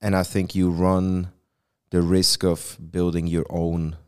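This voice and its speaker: A man with a Swiss accent and a very low, smooth, resonant voice. He explains his thoughts in an earnest, measured way, trading views as a church planter in a relaxed podcast talk. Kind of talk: casual podcast conversation.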